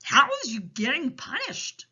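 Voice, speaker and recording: Woman as a big friendly giant, soft-hearted, quirky broken speech, forceful tone, children's storybook, slightly dull recording. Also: high voice, huge pitch swings, measured pace, breathy edge, pitched above the performer's own voice, heavily acted. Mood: concerned, curious. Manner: quirky broken grammar with made-up words. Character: big friendly male giant, tender-hearted, easily upset.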